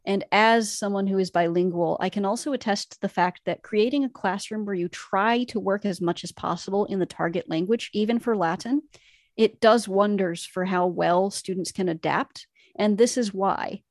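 A woman's voice: mid-range voice, normal speed, no room sound, studio quality. A woman co-hosting an educational podcast, earnestly laying out her case point by point. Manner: earnest, explanatory, building arguments point by point. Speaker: podcast co-host, Latin teacher. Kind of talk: enthusiastic educational podcast discussion.

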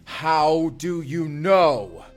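An actor playing a weary, suspicious young time traveler in an earnest, intense sci-fi audio drama. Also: male voice, fairly high pitch, expressive, very slow, faint music behind. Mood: suspicious, confrontational. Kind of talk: immersive sci-fi audio roleplay acting.

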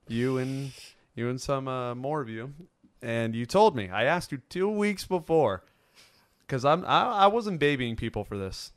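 A man in his twenties hosting a casual chat, telling stories in an animated, joking way. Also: mid-range voice, unhurried, clean tone, no echo, clean studio sound. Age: 20s. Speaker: host of a fan sports show.

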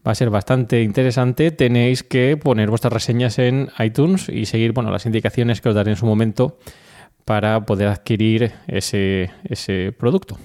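A man's voice: fairly low pitch, normal speed, darkish tone. A man with an accent from Spain hosting an informal solo podcast, explaining things and speaking directly to his listeners. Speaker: podcast host.

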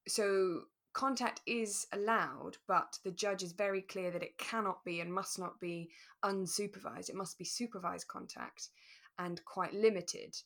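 A woman co-hosting a podcast, talking through a radio drama in a relaxed, careful, explanatory way. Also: mid-range voice, a little slow. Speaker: podcast co-host.

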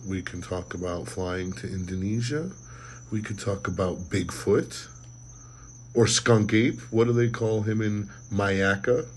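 A man's voice: fairly low pitch, slight background noise, rough recording. A man co-hosting a casual podcast, rambling with many fillers and trailing off. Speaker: podcast co-host. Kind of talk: casual chat.

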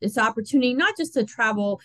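A woman's voice: on the high side, animated, fast, pushed and bright, dry recording. Woman, thirties, agreeable podcast co-host; thoughtful, filler-paced talk, dry close mic. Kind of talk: casual chat.

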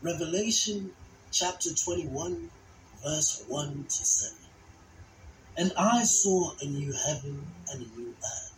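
Slow, solemn preacher in echoing sound. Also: medium pitch, expressive, a little hiss or hum. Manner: solemn, emphatic, deliberate phrasing. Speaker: Bible teacher and narrator. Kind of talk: dramatized scripture reading and sermon. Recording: echoey voice over background music.

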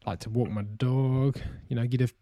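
A young adult man with a New Zealand accent, co-hosting a casual podcast chat in a relaxed way, filling pauses with "um" and laughing easily. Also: mid-range voice, no echo, clean studio sound. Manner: relaxed, many "um" fillers, easy laughter. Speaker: podcast co-host.

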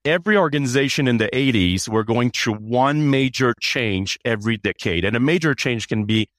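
A man talks as a guest in a business interview. He is earnest and often repeats words as he restarts a thought. His voice sits at a middle pitch, and the room sound is dry and close. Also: moderate pace, a bit bright, no room sound. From Canada. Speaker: guest, chief people officer.

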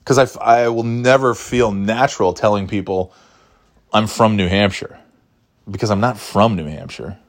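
A man's voice: lowish voice, normal speed. A middle-aged man from New York hosting a solo podcast, talking casually in a rambling, wry way with many restarts. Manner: rambling, self-interrupting, wry. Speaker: podcast host.

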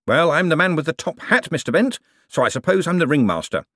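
A man voicing a glib, self-assured young male banker who talks briskly. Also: fairly high pitch, sing-song, pushed and bright, no room sound, clean studio sound, voice pushed up, full character voice.

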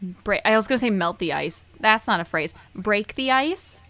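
A woman's voice: medium pitch, expressive, moderate pace, a bit bright, no room sound. A woman hosting a casual podcast, chatty and rambling, often correcting herself mid-sentence. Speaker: podcast host.

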